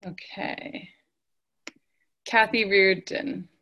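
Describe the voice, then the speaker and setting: A woman's voice: medium pitch, steady tone, very slow, bright, forceful tone, muffled recording, a little hiss or hum. A woman hosting an online meeting, calling out names one by one and asking people to unmute. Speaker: meeting host. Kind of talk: calling roll on a video call. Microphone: video call.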